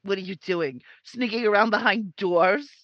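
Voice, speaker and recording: An actress playing a hostile, suspicious middle-aged mother-in-law in a 1950s-style radio crime drama, with scornful, drawn-out accusations. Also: medium pitch, muffled recording, lightly acted. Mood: accusing.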